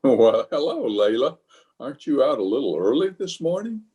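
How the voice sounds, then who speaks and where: A man's voice: medium pitch, very animated, normal speed, mellow, close to an everyday voice. A man playing a pompous middle-aged office boss in old-time radio comedy, stammering and hedging as he blusters. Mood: evasive, flustered. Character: flustered, evasive, pompous middle-aged male water department boss.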